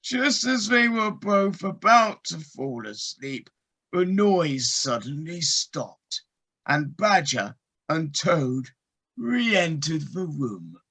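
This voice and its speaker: A woman's voice: sits low, big pitch swings, slow pace, fairly bright tone, slightly rough. A woman reading scripted story narration for an audio play. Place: living room, recorded over video call.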